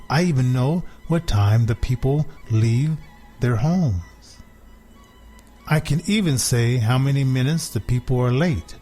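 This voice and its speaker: A man plays a self-satisfied house cat narrating a children's story. The delivery is very unhurried and deliberate, with pauses between phrases, at a medium pitch over music. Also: darkish tone. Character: smart, smug, possessive pet cat telling its life.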